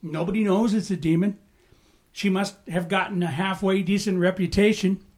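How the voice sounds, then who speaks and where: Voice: mid-range voice, steady tone, moderate pace, darkish tone. A pastor teaching a Bible study in a conversational way, often asking the room "right?" and "amen?", with bursts of emphasis.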